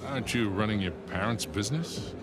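A man acting an older American treasure hunter from an adventure game. His voice sits fairly low, and he talks with dry, bantering charm, a genial con man. Loud music plays behind him. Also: fairly quick, natural voice. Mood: dry, needling.

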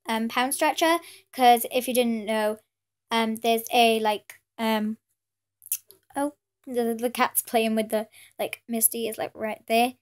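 A British teenage girl with a cold, sniffly, chatting through a pet shopping haul, rambling with lots of fillers and apologies. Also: fairly high pitch. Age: teens. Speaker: pet channel host. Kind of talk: casual haul vlog. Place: indoors at a table with Christmas decorations. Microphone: camera filming her hands from above.

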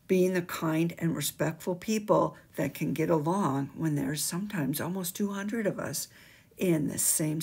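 A woman's voice: deep voice, vocal fry, breathy edge. An older woman on the school staff explaining gym rules to students in an emphatic, sing-song teacherly way, recorded on a webcam in a small office. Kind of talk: instructional school video. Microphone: webcam at desk.

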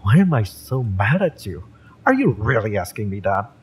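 A man's voice: on the low side, big pitch swings, moderate pace, darkish tone, breathy edge, heavily acted. A man playing a fiery, bitter young mercenary in an audio roleplay, sardonic and taunting, with sighs and swearing. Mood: hurt, angry. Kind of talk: romance audio roleplay acting, addressed to the listener.

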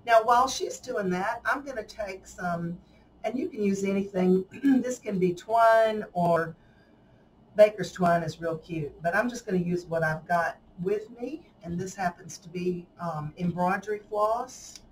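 A woman's voice: mid-range voice, a little slow. A woman with a Southern US accent demonstrating a paper craft at a table, talking in a folksy, chatty way and laughing often. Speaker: craft tutorial host. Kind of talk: casual hands-on craft demonstration. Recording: slightly distant mic, faint room noise.

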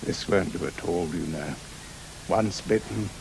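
An actor in a studio drama playing a proud, searching middle-aged author with a clipped, formal, old-fashioned gentlemanly manner and a gravelly voice. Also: male voice, deep voice, a little slow, noisy background. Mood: doubting. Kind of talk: studio radio drama acting.

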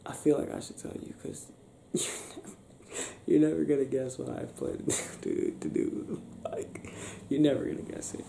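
A young man doing an improvised ASMR boyfriend roleplay, talking softly and affectionately in a breathy, teasing, near-whispered way. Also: medium pitch, wildly swooping pitch, normal speed. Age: young adult. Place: small bedroom, lying on a bed indoors. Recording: roomy sound with faint background noise.

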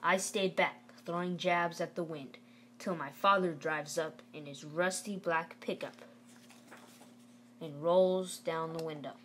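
Low-voiced woman reading a story aloud. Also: fairly even intonation, a little slow, a bit bright, slight background noise. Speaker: read-aloud narrator. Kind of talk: expressive storybook read-aloud narration.

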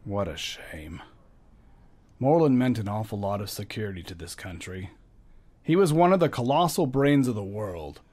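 A man's voice: lowish voice, lively intonation, normal speed, darkish tone. A man playing a dry, teasing police lieutenant. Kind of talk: radio drama recreation.

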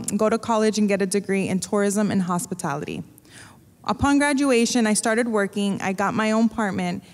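A woman's voice: mid-range voice, a little mellow. Woman interpreter reading in a church. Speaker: interpreter translating the testimony. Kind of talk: reading a prepared translation aloud. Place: church stage before an audience. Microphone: stand microphone. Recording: long reverb of a large church hall.

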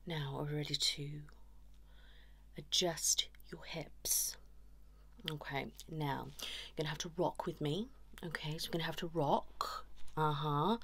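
A woman's voice: sits low, husky, no echo. A woman in her thirties playing a chiropractor in an ASMR roleplay, giving short instructions and praise up close, slipping in and out of whispers. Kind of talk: fast ASMR roleplay, personal attention. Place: small indoor room. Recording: noisy lo-fi audio with clicks.

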